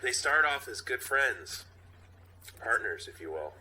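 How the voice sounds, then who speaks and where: A man's voice: medium pitch, big pitch swings, measured pace, bright, forceful tone, a little hiss or hum, poor audio. A man co-hosting a podcast with casual comic banter. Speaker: podcast co-host.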